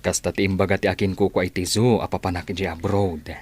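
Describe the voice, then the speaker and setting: A man playing a gentle, reassuring father in a radio drama, speaking in a measured, affectionate manner. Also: low-pitched, flat delivery, slight background noise, natural voice. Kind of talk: Ilocano radio drama acting. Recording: music under the voice.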